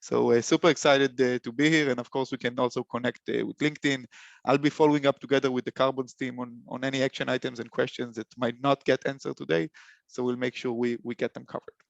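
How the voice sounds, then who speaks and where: A young man with an Israeli accent presenting a webinar, halting speech full of fillers and restarts. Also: mid-range voice, level tone, gravelly, no room sound. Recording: dull lo-fi call audio.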